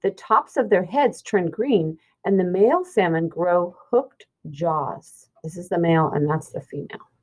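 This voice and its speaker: A woman's voice: fairly low pitch, unhurried, a little mellow. A woman teacher reading a children's science book aloud, explaining and pointing things out simply.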